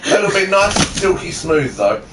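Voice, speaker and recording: A man's voice: a little high, big pitch swings, moderate pace, noisy background. Man dryly teasing and reading aloud. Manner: dry teasing, swearing. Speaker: co-host reading fan fiction aloud. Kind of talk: casual banter and amateur read-aloud.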